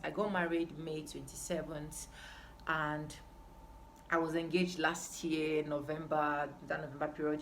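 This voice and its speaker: A young woman with a Nigerian accent talks casually to her viewers in a fairly low voice. She is emphatic, often repeating a phrase to stress it. There is faint noise behind her. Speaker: vlogger. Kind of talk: casual chat to camera.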